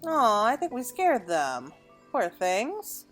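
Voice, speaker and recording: A woman's voice: on the high side, huge pitch swings, takes it slowly. A woman giving casual gameplay commentary, rambling and thinking aloud as she plays. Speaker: gamer, let's play host. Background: game music.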